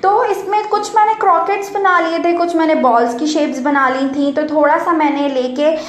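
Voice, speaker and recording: A high-pitched woman, talking viewers through a recipe in a relaxed, chatty way. Her voice bounces around an echoey home kitchen. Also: normal speed. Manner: chatty, explains steps to viewers. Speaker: home cooking vlogger. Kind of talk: casual cooking voice-over.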